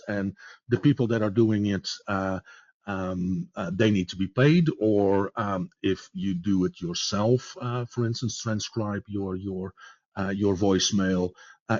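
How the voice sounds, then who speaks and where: A Dutch-accented man walks listeners through software features in a webinar, pausing often on fillers and restarting his phrases. His voice sits on the low side. The sound is dry and dull, like lo-fi conference audio. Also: no echo. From Netherlands. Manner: halting, many fillers and repeated words. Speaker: product presenter. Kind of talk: webinar product presentation.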